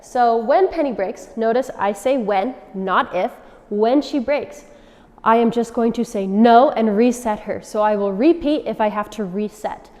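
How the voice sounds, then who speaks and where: A woman dog trainer calmly explaining and demonstrating commands step by step. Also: a little high, a little slow. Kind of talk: instructional demonstration. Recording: long reverb from a large room.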